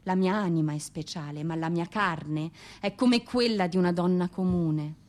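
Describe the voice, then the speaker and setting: A woman's voice: fairly low pitch, normal speed, darkish tone, close to an everyday voice. An actress playing an anguished exiled Russian woman poet, pleading yet defiant, in an impassioned, declamatory radio monologue. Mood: proud, defiant.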